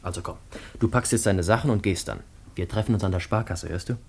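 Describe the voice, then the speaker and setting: An actor playing an eager, reassuring young lover in a naturalistic German radio drama, speaking tenderly and urgently. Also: male voice, low-pitched, quick pace, gravelly, a little mellow. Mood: urgent, confident. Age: young adult.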